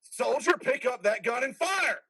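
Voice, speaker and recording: A man's voice: lively intonation, measured pace, breathy edge, full character voice. A man in a reader's theater drama playing a stern, ruthless veteran military commander with a commanding delivery. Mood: forceful, furious. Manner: commanding, swings from low growl to shouting.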